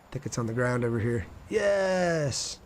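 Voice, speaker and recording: A man with a mid-pitched voice commentates on his own game livestream. He talks casually, thinks aloud as he plays and chats in a friendly way with his viewers. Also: animated, normal speed, a little hiss or hum. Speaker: game streamer. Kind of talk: casual livestream gameplay commentary with chat replies.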